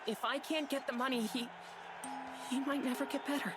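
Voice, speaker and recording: A woman's voice: high voice, fairly even intonation, brisk, natural voice. A woman voicing a scrappy young boy inventor in a video game, brash and slangy. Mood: sorrowful pleading. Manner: brash, slangy, emotional pleading. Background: game music.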